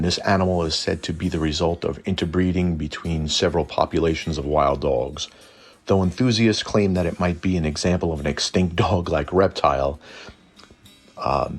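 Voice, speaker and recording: A man with a gravelly, husky voice narrating lore informally, with a wry, irreverent manner. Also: deep voice. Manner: irreverent, wry, mildly profane. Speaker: host.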